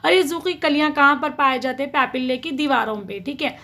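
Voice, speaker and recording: Woman teacher, high voice, explaining step by step, asks and answers her own questions. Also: fairly quick, bright, forceful tone. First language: Urdu. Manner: asks questions then answers them, frequent "theek hai". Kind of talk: explanatory science lesson.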